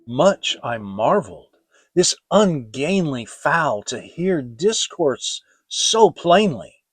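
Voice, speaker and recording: Man playing a slow, haunted, grieving scholar. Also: fairly high pitch, very animated, slightly rough, no room sound, heavily acted. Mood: wondering, intense. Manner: theatrical, brooding, drawn-out delivery with swelling and hushed phrases. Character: grieving, haunted scholar alone at night, increasingly fearful. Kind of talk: dramatic poetry reading, gothic.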